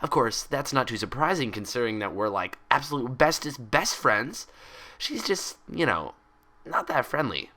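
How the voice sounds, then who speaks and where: A man's voice: mid-range voice, big pitch swings, normal speed, bright, forceful tone, voice pushed up. A man voicing a hyper, cheerful, gullible young female cartoon pony in bubbly, rambling, childlike chatter. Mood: chatty.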